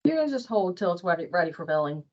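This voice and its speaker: A woman's voice: lowish voice, big pitch swings, fast talker, dull audio. A woman giving an informal spoken explanation as presenter at a staff training.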